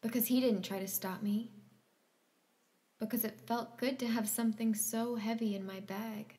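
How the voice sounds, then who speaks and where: An actress playing a haunted woman with dark, reckless desires in a stage drama, often hushed. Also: a little high, steady tone, moderate pace, lightly acted. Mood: quietly confessing. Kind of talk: stage-play dialogue acting.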